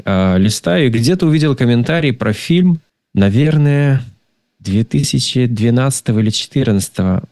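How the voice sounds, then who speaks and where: Casual male film-talk guest, lower-pitched, dry close sound, rambling and halting delivery. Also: a little mellow, dry recording. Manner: halting, rambling, repeats words. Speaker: film discussion participant. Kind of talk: casual conversational film review.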